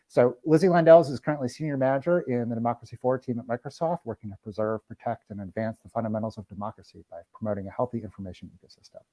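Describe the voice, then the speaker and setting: A man moderating an online panel, reading prepared remarks in a measured, lightly formal way with occasional fillers. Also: a little high, a little mellow, dry recording, rough recording. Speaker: moderator of a webinar.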